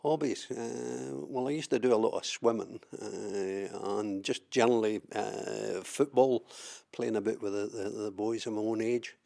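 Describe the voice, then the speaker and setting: A man's voice: on the low side, steady tone, a little slow, gravelly, hardly any pauses, darkish tone. An older Scottish man from southwest Scotland, recalling his life in an oral history interview, hesitant with many fillers and repeated words. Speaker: interviewee, trade unionist.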